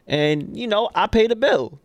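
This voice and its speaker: A man's voice: high voice, expressive, a little slow, no room sound, lo-fi sound. A man co-hosting a podcast, chatting casually in a playful, boastful, teasing way. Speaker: podcast co-host. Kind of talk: casual banter.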